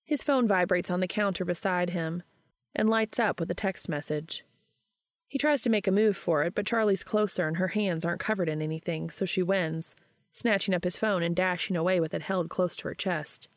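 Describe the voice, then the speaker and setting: A woman narrating fiction as an audiobook reader, in an expressive storytelling style. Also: lowish voice, moderate pace, no room sound, studio quality.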